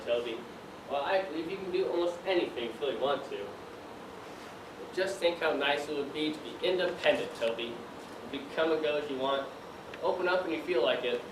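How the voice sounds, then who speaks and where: High school boy doing a dreamy determined young factory guy in a stage play, kinda high voice, far off in a roomy space. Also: lightly acted. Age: teens. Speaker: student actor. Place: stage in a large room, distant mic. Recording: roomy, noisy stage recording from afar.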